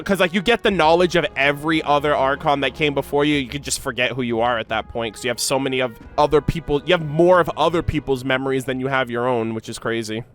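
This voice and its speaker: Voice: medium pitch, fairly bright tone. A streamer giving casual, slangy, exclamatory reaction commentary on a game to chat. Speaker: reaction video creator.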